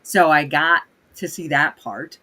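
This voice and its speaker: A chatty woman from Minnesota, hoarse from a recent cold yet still bright and forceful in tone. She is a livestream guest who talks through her stories in an animated, easy way. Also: sits low, a little slow. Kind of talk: casual livestream conversation.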